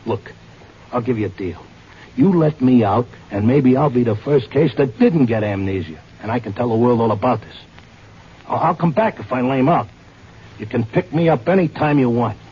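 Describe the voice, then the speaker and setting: Dull vintage radio, slangy stubborn American tipster actor. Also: male voice, medium pitch, moderate pace, slight background noise, close to an everyday voice. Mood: bargaining. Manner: slangy, plain-spoken. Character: wisecracking, stubborn American horse-racing tipster, desperate husband. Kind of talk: mid-century radio drama acting and first-person narration.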